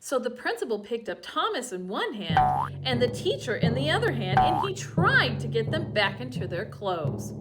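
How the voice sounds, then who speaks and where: Very animated woman reading a storybook. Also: moderate pace, few pauses, loud music behind the voice. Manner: expressive, swings into high character voices. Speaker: children's story reader. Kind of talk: storybook read-aloud. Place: church.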